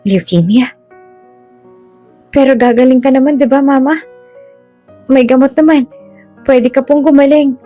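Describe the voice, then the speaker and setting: A woman voicing a timid, pleading young child in a drama story, in a high, thin, anxious voice. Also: unhurried, pauses often, dark tone, faint music behind. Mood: worried, tearful.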